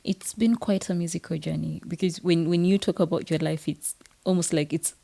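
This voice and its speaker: Ugandan female host, measured and reflective, dark mellow tone. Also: on the low side, fairly even intonation, creaky. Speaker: interview host. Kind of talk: conversational interview.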